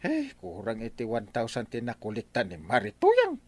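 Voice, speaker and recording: A man's voice: mid-range voice, wildly swooping pitch, moderate pace, vocal fry, a little mellow, no room sound, full character voice. A man with an Ilocano accent playing a fretful village captain who worries over money, in an Ilocano radio comedy drama. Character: Kapitan Enteng, village captain, fussy.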